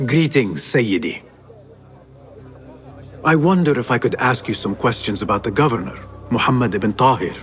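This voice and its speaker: An actor playing a smooth-talking young male infiltrator in a game cutscene, courtly and measured, with a teasing, probing manner. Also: medium pitch, expressive, a bit bright, soft background music, close to an everyday voice. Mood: polite, wry. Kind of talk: video game cutscene acting.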